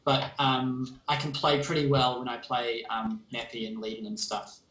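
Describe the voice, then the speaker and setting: A man's voice: mid-range voice, monotone, moderate pace, fairly bright tone. A man from New Zealand with a New Zealand accent, a game developer chatting casually on a livestream and explaining plans with frequent ums. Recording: roomy echo.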